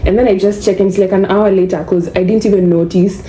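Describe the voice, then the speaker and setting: A woman's voice: medium pitch, fairly even intonation, quick pace, warm tone. A young woman from Kenya with a Kenyan accent, telling stories in a chatty vlog and slipping into Swahili slang. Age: young adult. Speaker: vlogger. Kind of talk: casual storytelling vlog. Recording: echoey room with faint noise.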